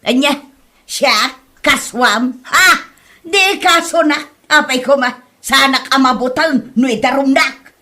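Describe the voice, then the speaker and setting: A shrill, very high-voiced older mother played by a woman in a comedy radio play. She is greedy and defiant, scolding everyone with a bright, piercing edge and never backing down. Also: slow, full character voice. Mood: defiant, taunting. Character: hot-tempered greedy older mother feuding over land. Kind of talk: Ilocano comedy drama acting.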